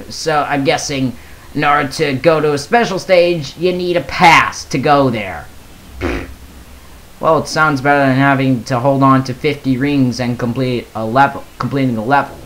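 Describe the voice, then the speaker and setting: Casual gaming vlogger, mid-range voice with very lively intonation, reads aloud haltingly with stumbles. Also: medium pitch, low-quality recording. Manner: halting, stumbles over words while reading. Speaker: gaming video creator. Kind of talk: casual commentary, reading aloud a post.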